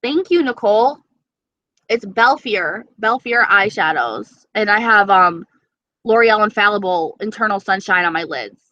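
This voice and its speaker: A woman's voice: mid-range voice, lively intonation, measured pace, sharp tone, no room sound, muffled recording. A young woman with an East Coast accent chatting casually on a live stream, reading viewer comments and swearing freely. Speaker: beauty vlogger.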